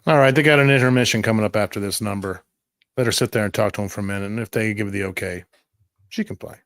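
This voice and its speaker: A man's voice: lowish voice, fast, fairly bright tone, no echo, studio quality. A man doing a laconic middle-aged club manager. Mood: cautiously obliging. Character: burly middle-aged male club floor manager, gruff but obliging.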